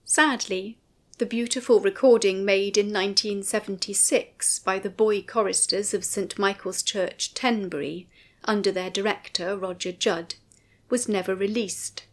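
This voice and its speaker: A woman narrating a scripted commentary in a formal, measured way, phrasing each sentence with care. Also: mid-range voice, fairly even intonation. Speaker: writer and narrator of a music commentary.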